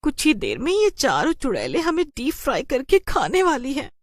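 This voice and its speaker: High, fretful young wife, played by a woman. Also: huge pitch swings, normal speed, dry recording, full character voice. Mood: anxious. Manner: exclaiming, fretful. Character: anxious, complaining young wife working at a pizza shop. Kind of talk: animated cartoon story voice acting.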